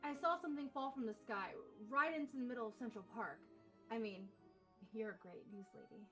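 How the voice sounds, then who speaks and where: A woman's voice: on the high side, a little fast, fairly bright tone, faint music behind, natural voice. A woman playing a flirtatious, smitten and distracted male eyewitness in a live table read. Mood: flirty, dreamy.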